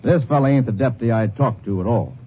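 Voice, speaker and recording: An actor playing a rough, plain-spoken country drifter who talks in a halting, folksy drawl in a 1950s radio drama. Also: male voice, mid-range voice, lightly acted. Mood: hesitant. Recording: tinny old radio broadcast, faint hiss.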